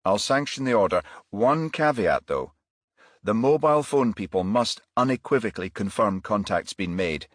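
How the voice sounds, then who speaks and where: Man voicing a measured senior police chief. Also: fairly low pitch, animated, no room sound, studio quality. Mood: cautious. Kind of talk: crime audiobook dialogue.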